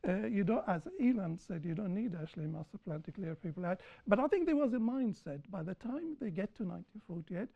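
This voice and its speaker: A woman speaking on a panel after a film screening, lecturing in a deliberate way and repeating points for emphasis. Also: mid-range voice, animated, creaky. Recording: dull lo-fi recording.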